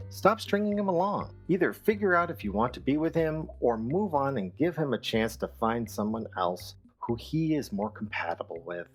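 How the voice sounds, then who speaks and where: A man reading a scripted comic radio show as its host, in a measured, deadpan manner over background music. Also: medium pitch.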